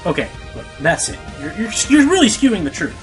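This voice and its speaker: A flustered male pony, voiced by a man, talks in a slightly high voice with music underneath. He is earnest and defensive, stumbling over his words like a radio-play guest under questioning. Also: huge pitch swings, brisk, fairly bright tone, exaggerated voice. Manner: hesitant, stammering, earnest. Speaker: interviewed show host. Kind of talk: conversational radio play dialogue.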